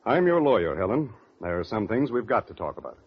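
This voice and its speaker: An actor playing a patient, persistent family lawyer who reasons calmly in a 1940s radio drama. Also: male voice, lowish voice, brisk, breathy edge. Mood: patient, insistent. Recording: dull vintage radio recording.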